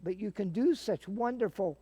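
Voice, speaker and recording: A man's voice: high voice, moderate pace. An older man casually explaining and demonstrating things as a guest. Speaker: guest, theater sound-effects practitioner.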